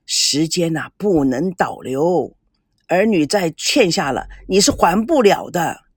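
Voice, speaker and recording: A woman's voice: on the low side, expressive, takes it slowly, slightly rough, no room sound, studio quality, heavily acted. A woman voicing a stern, caring elderly father in an audiobook, grumbling, sighing and admonishing. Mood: earnest admonishing.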